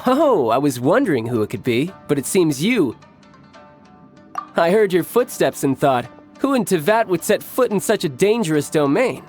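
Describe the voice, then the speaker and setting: A man playing a suave, teasing young fighter in a video game, smooth and playful, with music behind. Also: a little high, very animated, moderate pace. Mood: amused, teasing. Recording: loud music under the voice.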